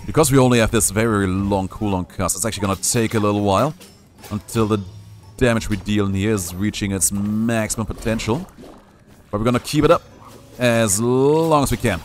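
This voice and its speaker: A man's voice: on the low side, fairly quick. A young man streaming a game, giving playful, jokey live commentary and reading chat aloud. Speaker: gaming streamer.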